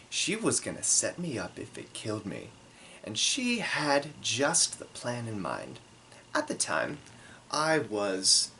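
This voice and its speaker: A man tells a comic story about himself with animated, widely varied intonation. He is theatrical and self-mocking, pausing for drama. Faint noise sits behind his voice. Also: lowish voice, poor audio. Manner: theatrical, dramatic pauses, acts out dialogue; self-deprecating. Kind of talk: comedic autobiographical storytelling.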